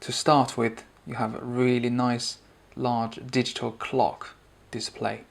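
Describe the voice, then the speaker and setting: A man's voice: medium pitch, level tone, takes it slowly, gravelly. A man giving a conversational, explanatory spoken product review and demonstration.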